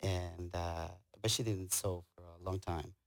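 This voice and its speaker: A middle-aged man with a Guatemalan accent, talking casually and haltingly as an interview guest, telling stories about himself. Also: sits low, fairly even intonation, no echo, studio quality. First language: Spanish. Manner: halting, hesitant delivery. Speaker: interview guest, tailor and business owner. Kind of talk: casual conversational storytelling.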